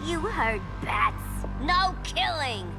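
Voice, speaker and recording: A woman's voice: sits high, very animated, extremely slow, heavily acted. An actress playing a brash, wisecracking female clown antihero in a video game, sassy and flippant, with music behind her. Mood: sassy, defiant. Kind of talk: animated video game acting.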